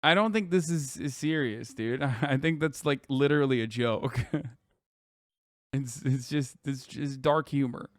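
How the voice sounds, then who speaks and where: A man live streaming, reacting to tweets and lecturing his chat in a profane, animated way full of rhetorical "okay?" asides. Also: mid-range voice, measured pace, no room sound, clean studio sound.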